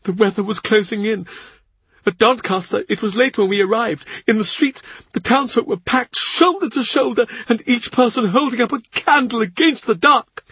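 A man's voice: extremely high pitch, moderate pace, a bit bright, breathy edge, dry recording, voice pushed up, full character voice. A man voicing a grieving male servant who cries as he tells his story, in an audiobook. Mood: tearful. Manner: tearful, breathless storytelling. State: crying. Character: grieving male servant, weeping, tearful gentleman. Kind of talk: audiobook character reading.

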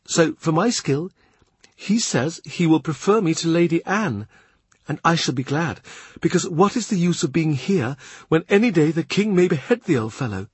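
A man's voice: on the high side, lively intonation, normal speed, husky, dry recording, pitched above the performer's own voice. A man voicing a young court lute player who chatters gossip. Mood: gossipy.

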